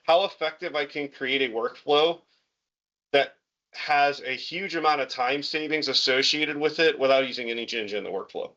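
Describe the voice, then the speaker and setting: Bright, encouraging man on a call. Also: medium pitch, normal speed. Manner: encouraging, conversational, repeats words. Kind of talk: casual video call chat. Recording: muffled call audio.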